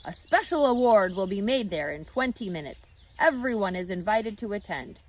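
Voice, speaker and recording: A woman voicing an official fairground loudspeaker announcer in a storybook, measured and proclaiming. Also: medium pitch, animated, natural voice, poor audio.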